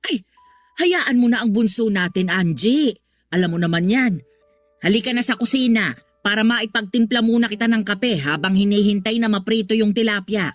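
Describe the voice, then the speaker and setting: A woman's voice: mid-range voice, normal speed, dry recording, soft background music, natural voice. A woman playing a weary mother in a Tagalog radio drama, pleading and placating as she keeps the peace. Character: weary peacemaking mother of two quarrelling daughters.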